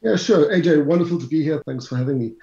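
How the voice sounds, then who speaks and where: A man's voice: on the high side, fast talker, gravelly, mellow. A middle-aged South African man, a finance instructor, answering interview questions in a chatty, lecturing way with asides to the audience. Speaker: CFA instructor, guest. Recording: roomy, slightly dull sound.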